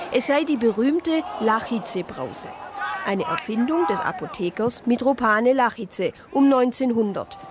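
An actress playing a reflective Protestant sister who narrates a crime story in a radio drama. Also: female voice, fairly high pitch, background noise. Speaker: narrator and lead character.

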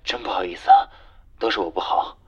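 A man playing a gentle, polite and slightly teasing young courier in an audio drama, soft-spoken and courteous. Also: low-pitched, quick pace, breathy edge, muffled recording. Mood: apologetic, courteous. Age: young adult. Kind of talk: Chinese audio drama acting. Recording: music under the voice. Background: music.

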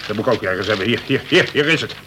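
An actor playing a worried, blustering prison governor, a cautious and officious colonel, in a Dutch radio play. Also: male voice, fairly low pitch, lively intonation, very fast, background noise, lightly acted. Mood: alarmed, harassed. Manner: exasperated, swearing, officious.

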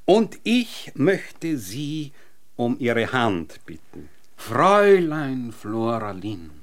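An eccentric scientist in an absurd radio comedy. He lectures in a grand, theatrical way, his medium-pitched voice rising and falling in lively sweeps. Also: very slow, heavily acted. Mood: earnest courting. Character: eccentric, naked time-theorist scientist back from apparent death. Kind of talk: absurd comic radio drama acting.

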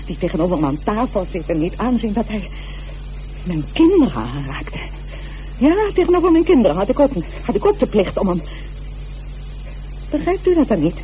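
A woman's voice: medium pitch, big pitch swings, moderate pace, pauses often, mellow, a little hiss or hum, close to an everyday voice, low-quality recording. An actress playing a defensive, self-righteous wife and mother, in radio drama style. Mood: agitated, self-righteous. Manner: haughty, insistent, breaking into agitated self-justification.

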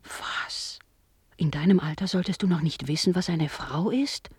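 A soft, breathy woman's voice with a mellow tone, close and dry. a married village woman in a radio drama, coy and seductive, with a show of innocence. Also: on the low side, measured pace, dry recording. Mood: coy, teasing. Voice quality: breathy, hushed. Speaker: actress. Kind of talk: German radio play acting, intimate dialogue.

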